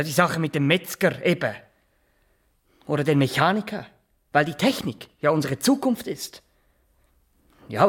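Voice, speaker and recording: An actor playing a nervous, boastful Swiss small-town barber in a radio drama, rambling, halting and always justifying himself. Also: male voice, a little high. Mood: boastful, self-justifying. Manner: rambling, halting, repeats himself, keeps adding tag questions. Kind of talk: naturalistic radio drama acting.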